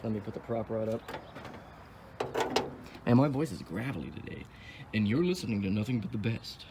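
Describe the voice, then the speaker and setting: A man's voice: on the low side, animated, moderate pace. Wisecracking young man with noisy background. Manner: wisecracking, sarcastic asides; offhand, thinks aloud while working on a car. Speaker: car vlogger. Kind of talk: casual vlog commentary.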